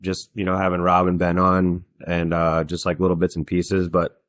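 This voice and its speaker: A man's voice: low-pitched, monotone, normal speed, a bit bright, no room sound, studio quality. A man hosting a podcast in casual conversation, talking in a rambling way with many fillers and restarts. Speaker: podcast host. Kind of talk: casual chat.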